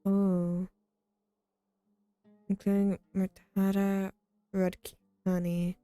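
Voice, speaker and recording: A woman streaming a game with casual commentary, musing to herself and reading and reacting aloud in an unhurried way. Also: medium pitch, level tone, dark tone, smooth, no echo. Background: game music.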